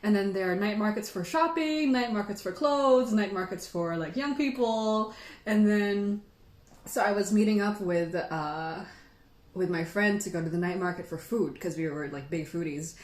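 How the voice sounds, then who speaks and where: A woman hosts a casual livestream chat in an echoey room. She has a mid-range voice and rambles along in an animated, informal storytelling way. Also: mid-range voice, normal speed. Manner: animated, rambling, giggly, frequent "like". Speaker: livestream host.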